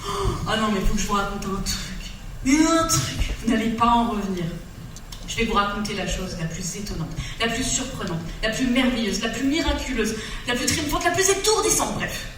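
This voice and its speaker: A woman's voice: fairly high pitch, animated, moderate pace, recorded from a distance, noisy background. A woman telling a comic love story on stage, theatrical and breaking into song. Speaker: stage performer. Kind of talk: comic storytelling monologue with songs. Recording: echoey hall recorded from afar.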